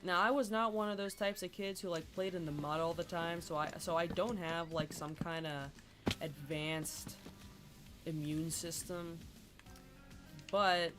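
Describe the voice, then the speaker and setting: A live streamer chatting casually while playing a building game, sounding congested, hesitant with many ums and restarts, and clearing the throat often. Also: medium pitch. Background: game music.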